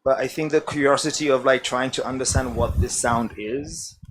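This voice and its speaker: A man's voice: mid-range voice, steady tone, normal speed, background noise. Casual young-sounding man saying "like" a lot. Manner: casual, lots of "like". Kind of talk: panel discussion.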